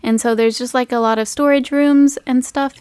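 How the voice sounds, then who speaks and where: A woman's voice: sits high, fairly even intonation, moderate pace, a little mellow, clean tone, dry recording. A woman acting as game master, narrating an improvised tabletop game in a casual, conversational way.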